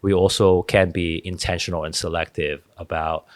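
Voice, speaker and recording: A man's voice: sits low, little pitch movement, moderate pace. A middle-aged man, a podcast guest telling stories earnestly, often restarting his sentences. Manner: earnest, storytelling, frequent restarts. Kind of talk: casual interview talk.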